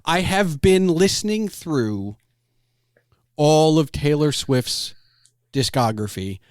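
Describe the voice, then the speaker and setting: A man's voice: on the high side, expressive, takes it slowly, clean tone, no echo, studio quality. A middle-aged man in casual podcast conversation, rambling and digressive, often breaking off his clauses to start another. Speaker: podcast host.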